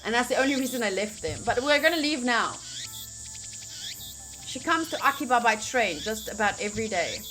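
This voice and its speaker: A bright, fairly high-voiced woman narrates a visual novel playthrough. She is expressive and playful, switching between reading and exclaiming, with faint game music behind her. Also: a little fast. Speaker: game streamer reading lines aloud.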